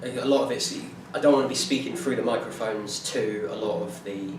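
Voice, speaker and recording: A young man giving an informal class presentation, talking in a halting way with many fillers and restarts. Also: medium pitch. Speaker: music student presenting a performance plan. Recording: echoey room from far off, noisy.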